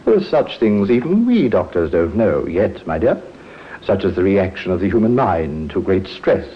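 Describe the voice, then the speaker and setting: A man's voice: sing-song, moderate pace. An actor playing an older, fatherly doctor, reassuring and theatrical, in an old-time radio mystery. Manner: avuncular, theatrical. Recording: dull, rumbly, noisy old radio recording.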